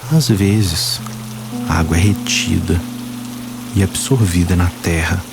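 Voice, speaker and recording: A man guiding a meditation, speaking calmly and slowly in short phrases with long pauses. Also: low voice, gravelly. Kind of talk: guided meditation. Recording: voice-over with music and water sounds. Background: soft music, rain and running water.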